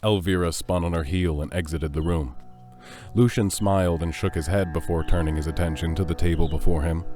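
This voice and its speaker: Low-voiced male audio drama narrator. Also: steady tone, a little fast, mellow, faint music behind.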